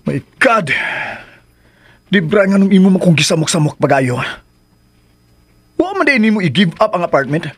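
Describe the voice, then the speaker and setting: A man's voice: high-pitched, very animated, moderate pace, long pauses, husky, exaggerated voice. An actor playing a cornered man pressured by his lover, exasperated and pleading in radio drama style.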